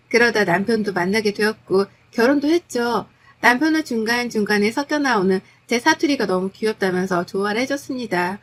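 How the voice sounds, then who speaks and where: A woman reading a scripted first-person story as narrator, in a polite, confiding storytelling manner. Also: fairly high pitch.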